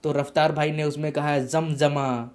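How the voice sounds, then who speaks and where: A man's voice: a little high, little pitch movement, normal speed. A man hosting a casual podcast, asking questions and responding with frequent short acknowledgements and laughter. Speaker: podcast host.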